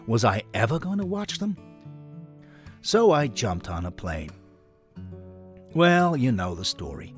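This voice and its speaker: A man playing an older American businessman and sincere football fan, telling his audio story in a measured, plain-spoken way with an American accent. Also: lowish voice, pitched below the performer's own voice. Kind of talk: scripted audio story monologue.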